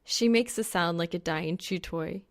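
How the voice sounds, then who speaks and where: A woman narrates a piece of fan fiction in an audiobook style. Her voice sits a little low and has a clearly creaky, gravelly edge, with expressive scripted delivery. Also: unhurried, slight background noise.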